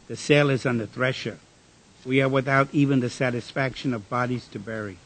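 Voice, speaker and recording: A man's voice: mid-range voice, slightly rough, close to an everyday voice, rough recording. An actor playing a bitter, disillusioned engineer in a radio drama, weary and declamatory in his delivery. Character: Francis, bitter, disillusioned male engineer worn down by fighting.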